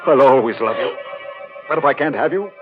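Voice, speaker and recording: An actor playing a deranged, obsessive jilted sculptor turned murderer in 1940s radio horror style, brooding, halting and melodramatic. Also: male voice, mid-range voice, expressive, natural voice. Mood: obsessed, menacing. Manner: brooding, halting, melodramatic, lurching from murmur to shouting. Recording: muffled old 1940s radio broadcast with music.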